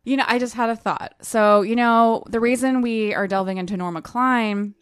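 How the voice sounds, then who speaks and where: A woman's voice: on the high side, fairly even intonation, moderate pace, clean tone, no echo, studio quality. An American woman co-hosting a podcast in casual conversation about books. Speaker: podcast co-host. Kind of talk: casual book chat.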